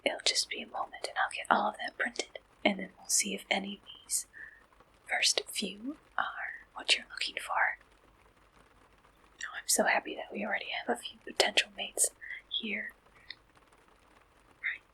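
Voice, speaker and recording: A woman doing a gentle, polite ASMR roleplay as a friendly, helpful service clerk, speaking in soft whispers. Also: mid-range voice, fairly even intonation, normal speed, long pauses, husky, low-quality recording. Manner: gentle, polite, attentive customer-service way of talking. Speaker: ASMR performer playing a dating service receptionist.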